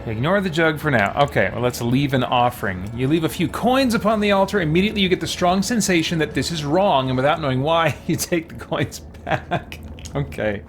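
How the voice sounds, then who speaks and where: A man's voice: fairly high pitch, a little fast. Man live-streaming a game over music. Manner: muttering to himself, mild exclamations. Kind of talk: casual live-stream gameplay chat, reading on-screen text aloud.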